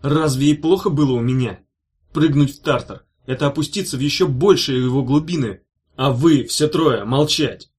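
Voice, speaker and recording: A man's voice: medium pitch, moderate pace, few pauses, a little mellow, close to an everyday voice. A man reading an audiobook, voicing a sinister male demon guard who hisses with fury. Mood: menacing. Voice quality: hissing.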